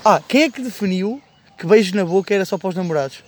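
Quick, high-voiced Portuguese young man. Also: lively intonation, darkish tone. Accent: European Portuguese. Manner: slangy and joking. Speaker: podcast host. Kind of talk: casual chat.

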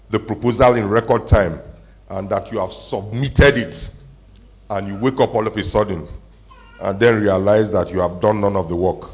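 A deep, low-pitched pastor delivers a conversational sermon, asking his congregation questions and slowing down to let key points land. He is recorded in a church hall, and the sound is muffled and a little lo-fi. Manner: conversational, asks the congregation questions, slows for emphasis.

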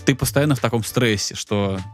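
A man's voice: medium pitch, fairly quick, soft background music. A man casually telling a long story in a podcast chat, rambling and full of fillers. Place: Tbilisi.

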